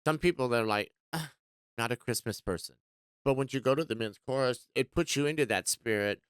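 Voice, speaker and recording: A man's voice: medium pitch, fairly quick, a bit bright, smooth, no echo, clean studio sound. A man hosting a casual podcast chat in an agreeable, encouraging manner. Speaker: podcast host.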